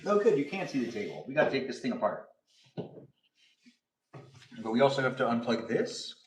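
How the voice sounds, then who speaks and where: A man's voice: mid-range voice, sing-song, moderate pace, a little hiss or hum. Rambling man in his thirties, roomy and distant. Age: 30s. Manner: playful and rambling, sing-song asides. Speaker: livestream host. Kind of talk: casual livestream chat. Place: home kitchen area. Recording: roomy, distant camera audio.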